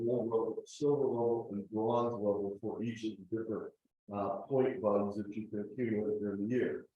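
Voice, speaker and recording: A man's voice: medium pitch, mellow. Man presenting from across an echoey room. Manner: explanatory, with frequent fillers. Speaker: club presenter. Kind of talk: club meeting slide presentation. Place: club meeting room. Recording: distant, roomy meeting-room recording.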